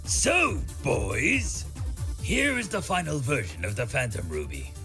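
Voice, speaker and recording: A man's voice: medium pitch, huge pitch swings, measured pace, gravelly, bright, forceful tone, soft background music, full character voice. A man voicing a pompous, scheming mad scientist villain in a fan comic dub, giving orders in a theatrical, emphatic way. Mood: proud, probing.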